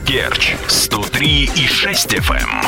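Slow, very animated male radio announcer over music. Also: medium pitch, gravelly. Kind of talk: produced radio jingle, scripted station ident. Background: loud music.

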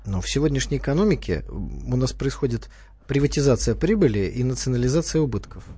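A man's voice: mid-range voice, expressive, fairly quick, mellow, breathy edge. A man commenting on a talk radio show, arguing his points with irony and formal politeness. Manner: ironic, argumentative, formal address. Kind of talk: studio talk radio discussion. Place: radio studio.

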